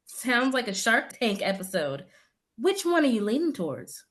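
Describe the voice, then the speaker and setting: A woman's voice: on the high side, big pitch swings, moderate pace, fairly bright tone. A woman playing a teasing, sardonic office colleague in an audio play.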